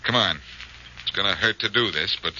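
An actor playing a confident, sharp-witted amateur detective in a 1940s radio drama, brisk and wry as he thinks aloud, on a muffled old recording. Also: lowish voice, a little hiss or hum. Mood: excited deduction.

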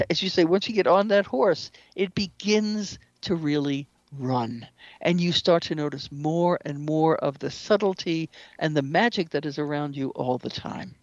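A woman's voice: low-pitched, expressive, measured pace, dry recording. A woman speaking as an interview guest, explaining things in a conversational, instructive way. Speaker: interview guest, shamanic practitioner and author. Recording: slightly dull lo-fi audio.

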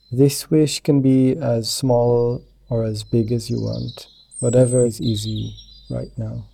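Slow, very dark-voiced man guiding meditation. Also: mid-range voice, creaky, slight background noise. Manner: gentle, soothing, unhurried. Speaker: meditation teacher guiding yoga nidra.

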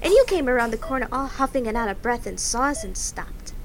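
A woman's voice: on the high side, animated, brisk, slight background noise. A woman voicing an earnest, curious young girl in an audio drama. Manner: earnest, eager.

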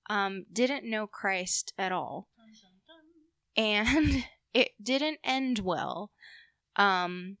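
A young woman with an American accent tells a personal story in casual conversation. Her voice is bright and sits close and dry, and she takes her time, slightly nervous and halting as she goes. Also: medium pitch, clean tone, no echo. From Puerto Rico.

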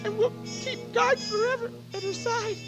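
A gentle, childlike storybook dwarf with an extremely high, piping voice. He sounds devoted and small, with music behind him in an old radio drama. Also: steady tone, moderate pace. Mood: devoted, curious. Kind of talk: 1940s radio fairy-tale acting. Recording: vintage 1940s radio broadcast, music behind.

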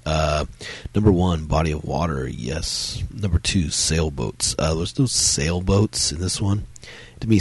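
Very deep gravelly man with a cold. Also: no room sound. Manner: rambling, many fillers and restarts. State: has a cold, strained voice. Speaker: podcast host reviewing films. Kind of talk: solo casual review talk.

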